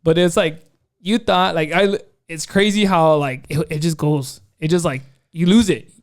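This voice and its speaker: A man with a fairly high, smooth and clear voice talks loosely in casual podcast chat. He rambles through stories, stacking up "like" and restarting his sentences, with a joking edge. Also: fairly even intonation, moderate pace. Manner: rambling, many "like" fillers, joking. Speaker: podcast co-host.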